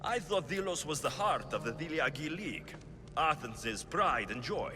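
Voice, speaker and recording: An actor playing Alexios, a dry, steady mercenary in a game cutscene. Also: male voice, on the high side, moderate pace, big-room sound, music in the background, natural voice. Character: Alexios, male Spartan-born mercenary, dry wit. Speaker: game protagonist. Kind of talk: video game cutscene acting.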